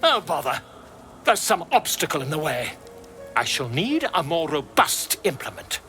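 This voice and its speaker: A man's voice: a little high, very animated, moderate pace, gravelly, pushed and bright, soft background music, heavily acted. A man playing a foppish, overconfident amateur surgeon in a fantasy game, florid and theatrical with a British accent. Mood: excited, fussy. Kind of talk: comic fantasy game acting.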